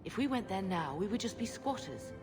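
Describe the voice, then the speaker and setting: A woman's voice: mid-range voice, sing-song, fairly quick, over music, close to an everyday voice. An actress playing a proud, careworn middle-aged mother of noble birth in a video game, dignified and insistent.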